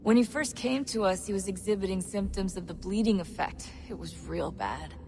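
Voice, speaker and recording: Woman playing an easygoing, supportive teammate, mid-pitched. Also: normal speed, dark tone, a little hiss or hum, natural voice. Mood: sympathetic, reassuring. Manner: casual, friendly. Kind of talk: video game cutscene acting.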